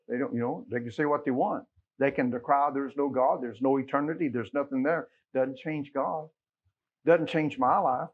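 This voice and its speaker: A middle-aged man with an American accent preaching a sermon in a folksy, conversational way, leaning on emphatic rhetorical questions, with a husky voice. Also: mid-range voice, lo-fi sound. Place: church sanctuary, at a pulpit.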